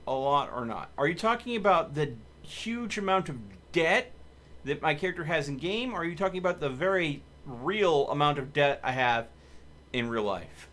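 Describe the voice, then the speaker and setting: A man's voice: fairly high pitch, lively intonation, normal speed, fairly bright tone. A man hosting a live game stream, chatting casually and commenting on his play, with game music behind him. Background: video game music.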